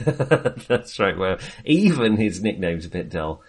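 A man's voice: low voice, sing-song, slow, a crowd in the background. A man co-hosting a film podcast, chatting casually in a hesitant, wandering way with self-amused asides.

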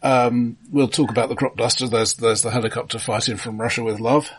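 A man co-hosting a film podcast, talking casually but analytically, with a measured, searching delivery. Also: medium pitch, steady tone, breathy edge, rough recording.

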